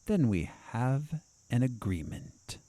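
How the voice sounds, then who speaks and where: A man playing a haughty, vengeful doctor in an audio drama, with a disdainful, theatrical, deliberate delivery. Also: mid-range voice, big pitch swings, mellow, dry recording. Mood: gloating. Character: haughty, vengeful male doctor, a sinister villain.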